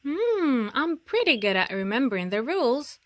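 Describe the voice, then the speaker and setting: Woman reading as an eager, curious little girl, lively pitch. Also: normal speed, dry recording, studio quality. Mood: musing, hopeful. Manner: earnest, inquisitive. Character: eager, curious young girl narrating storybook, admiring police.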